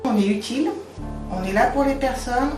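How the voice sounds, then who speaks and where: A woman's voice: fairly high pitch, normal speed. A woman giving a candid, conversational interview testimonial as a home care worker. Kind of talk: interview testimonial about their job. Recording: echoey room, distant mic, music bed. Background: music.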